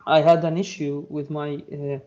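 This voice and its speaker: A fairly high-voiced man whose first language is Arabic walks through a technical topic in a halting, filler-heavy way. His tone is mellow and soft. The sound is dull and lo-fi. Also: level tone. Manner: halting, many fillers and restarts. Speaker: presenter. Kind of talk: technical talk.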